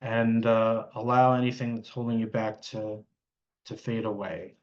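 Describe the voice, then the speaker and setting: A man leading an improvised guided meditation, speaking hesitantly and pausing mid-sentence. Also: lowish voice, flat delivery, a little mellow, dull audio.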